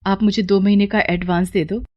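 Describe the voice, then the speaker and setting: A woman's voice: mid-range voice, steady tone, moderate pace, dark tone, highs cut off, natural voice. A woman voicing a poor, worried and dutiful wife in a moral story, plaintive and deferential. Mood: worried, pleading. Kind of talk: Hindi moral story dialogue.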